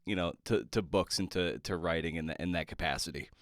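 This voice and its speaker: A man hosting a podcast, asking casual interview questions in an informal, halting way as he feels his way through them. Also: low voice, no room sound, studio quality.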